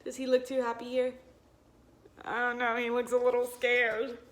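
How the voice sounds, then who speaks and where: A woman teacher reading a picture book aloud to young children, expressive and encouraging, pausing to explain and ask simple questions. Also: a little high. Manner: encouraging, expressive, exaggerated wonder, asks the listener questions.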